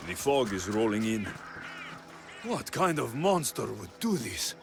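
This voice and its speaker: An actor playing a terse, protective, hot-tempered mercenary in a video game. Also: male voice, fairly low pitch, very animated, measured pace, vocal fry, full character voice. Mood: grim, horrified. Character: gruff male Greek mercenary hero, protective, hot-tempered. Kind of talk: dramatic video game acting. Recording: dramatic music behind the voice.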